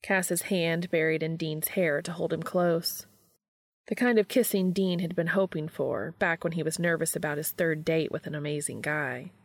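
Low-pitched, creaky woman narrator. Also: moderate pace, no echo. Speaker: audiobook narrator. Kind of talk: scripted fiction narration.

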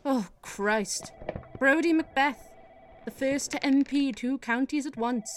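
A woman playing a harried, sweary in a radio play, with an exasperated, halting politician's manner. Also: high-pitched, a little hiss or hum. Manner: exasperated, stammering politician's hedging. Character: harried, sweary British Prime Minister, a woman.

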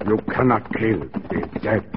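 An actor playing a grave, superstitious war chief in a 1940s radio drama, speaking in solemn, ponderous pronouncements. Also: male voice, fairly low pitch, lively intonation, a little slow. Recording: dull, hissy vintage radio, long reverb.

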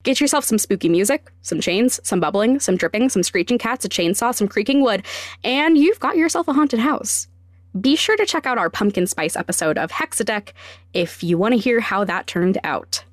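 A woman's voice: medium pitch, animated, normal speed, no echo, studio quality. A woman hosting a podcast, giving scripted explanations with wry, self-deprecating asides. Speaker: podcast host and sound designer.

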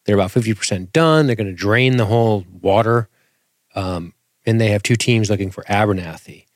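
A man's voice: fairly low pitch, a little fast, no echo. A man co-hosting a casual TV episode recap, keeping the talk on track with dry, teasing humor. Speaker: podcast co-host.

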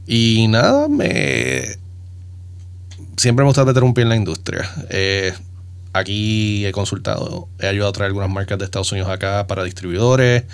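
A man's voice: fairly low pitch, animated, moderate pace, smooth. A man from Puerto Rico with a Puerto Rican accent, chatting casually and informally as a podcast guest. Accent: Puerto Rican Spanish. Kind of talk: casual chat.

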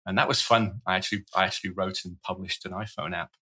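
A man's voice: low-pitched, steady tone, fast talker, bright, forceful tone, slightly rough. A middle-aged man from Wales with a Welsh accent, chatting as a guest on a podcast in a chatty, self-deprecating way. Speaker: guest, cybersecurity evangelist. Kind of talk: casual podcast conversation.

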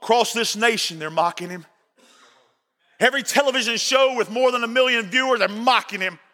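A man's voice: very high voice, a little fast, sharp tone. A man preaching an impassioned, confrontational sermon, driving home repeated rhetorical questions. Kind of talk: fiery live sermon to a congregation. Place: large indoor hall with a congregation. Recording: reverberant large hall.

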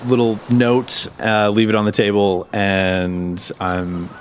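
A man's voice: fairly low pitch, level tone, slow, background noise. A man improvising as a player in a tabletop game, casual, laid-back and joking. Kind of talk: casual improvised role-play.

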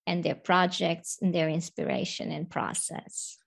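Woman, very slow pace, creaky gravelly voice, event announcements. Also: lowish voice, steady tone. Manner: slow, deliberate. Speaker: panel moderator.

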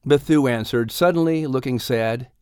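A man reading a children's audiobook story as a scripted, expressive narrator. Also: medium pitch, moderate pace, smooth, no room sound, studio quality.

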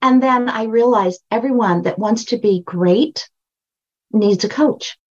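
Emphatic older woman with a high voice. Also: measured pace, a little mellow, muffled recording. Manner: emphatic, storytelling, repeats phrases for stress. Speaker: guest, brand strategist and book coach. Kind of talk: podcast interview.

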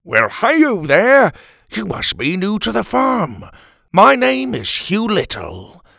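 A man's voice: high-pitched, wildly swooping pitch, slow pace, vocal fry, bright, forceful tone, no room sound, clean studio sound, voice pushed up, exaggerated voice. A man voicing a cheerful, naive little dad in a children's story, hearty and oblivious. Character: cheerful, naive tiny dad, overly friendly. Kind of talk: acted children's story narration.

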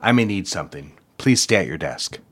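An actor playing a cold, demanding office boss who speaks in a curt, controlled, commanding way in an audio drama. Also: male voice, low-pitched, expressive, a little fast, fairly bright tone, husky, full character voice.

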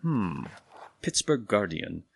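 A man acts out an officious desk clerk in a 1920s period drama. The voice sits low, and every phrase comes out prim, formal and dryly long-suffering. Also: slow pace, vocal fry, husky, heavily acted. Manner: formal, fussy, deadpan. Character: prim, put-upon, dryly officious male hotel clerk.

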